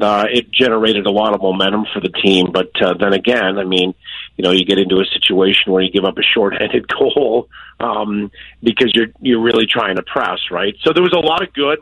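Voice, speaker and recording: Male sports broadcaster as interview guest: lowish voice, dry sound, measured conversational analysis. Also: a bit bright, dry recording, lo-fi sound. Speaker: guest radio play-by-play broadcaster.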